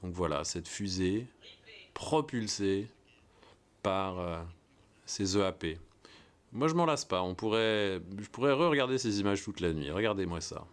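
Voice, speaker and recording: Man, American, low voice, excited live launch commentary, hesitant. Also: measured pace. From United States. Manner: enthusiastic, conversational, many hesitations.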